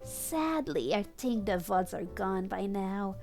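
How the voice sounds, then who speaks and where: Slow-paced woman streamer reading fanfic over music. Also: medium pitch, animated, creaky, darkish tone. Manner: giggly, often breaking into laughter while reading. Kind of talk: comedic live fanfic reading with chat asides.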